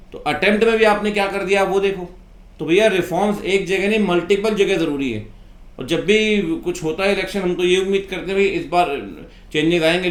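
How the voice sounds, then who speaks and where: Guy teacher ranting at the camera, kinda high voice, fed up and reproachful, roomy echo. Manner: exasperated, reproachful, with rhetorical questions. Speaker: accountancy teacher and video host. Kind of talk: impassioned informal rant to camera. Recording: echoey room.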